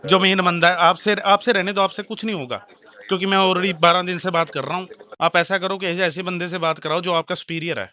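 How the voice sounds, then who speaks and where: Guy arguing as an angry customer on a call, highish sharp voice, keeps pushing his demands. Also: steady tone, brisk. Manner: insistent, argumentative, repeats demands. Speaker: customer making a complaint. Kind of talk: heated phone complaint.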